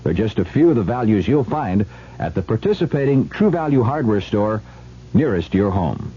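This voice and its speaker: A muffled vintage radio recording carries a male commercial announcer. His voice is mellow and somewhat breathy, and he moves widely in pitch as he reads the scripted pitch. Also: big pitch swings, moderate pace. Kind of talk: 1970s radio ad read.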